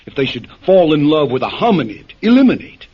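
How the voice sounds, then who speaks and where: A man's voice: on the high side, very animated, a little fast, husky, exaggerated voice. A man playing a weary lord who crusades for justice in a radio drama, impassioned and bitterly sardonic, on a dull vintage recording. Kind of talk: golden-age radio drama acting.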